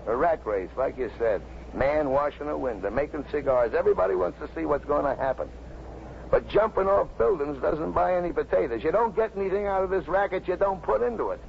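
Kindly American policeman, creaky voice, hesitant, plain talk, dull 1950s radio recording. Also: on the high side, expressive, brisk. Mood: folksy, reassuring. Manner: colloquial, hesitant, reassuring. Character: plain-spoken, kindly policeman talking a youth down. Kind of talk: 1950s radio drama acting. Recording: muffled 1950s radio recording, faint noise.

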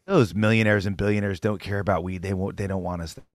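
A man's voice: deep voice, fairly even intonation, no echo, studio quality. A man co-hosting a casual news show, giving opinionated rants about local politics. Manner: opinionated, fast-talking rants.

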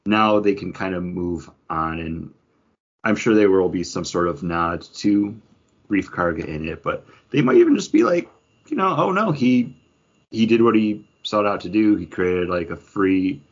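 A man's voice: deep voice, expressive, moderate pace, highs cut off. A man hosting a casual pop culture news chat in a conversational way, often acting out imagined quotes. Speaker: podcast host.

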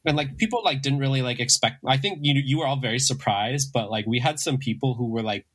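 A man with a raspy, slightly under-the-weather voice, telling stories casually as a guest, with lots of filler "like" and quoted dialogue. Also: medium pitch, quick pace. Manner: animated storyteller with frequent "like" and quoting. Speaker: guest, drag performer. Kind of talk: casual conversational interview chat.

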